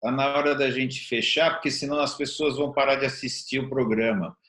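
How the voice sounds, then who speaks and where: A man's voice: medium pitch, fairly even intonation, fairly quick, a bit bright. A man with a Brazilian Portuguese accent hosting a casual interview in a conversational way. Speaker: interview host. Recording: roomy, echoing sound.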